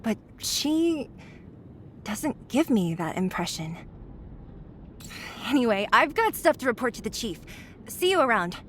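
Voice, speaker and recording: A woman's voice: a little high, big pitch swings, measured pace, pauses often, a bit bright, a little hiss or hum. A woman voicing a tough, spirited young resistance fighter in a video game, blunt, casual and direct. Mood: curious, guarded. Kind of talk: video game story dialogue. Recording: faint music under the voice.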